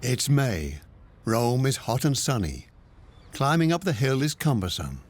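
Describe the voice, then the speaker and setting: A man narrating a travel documentary in a calm, measured scripted voice-over. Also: medium pitch. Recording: voice-over with music behind. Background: music.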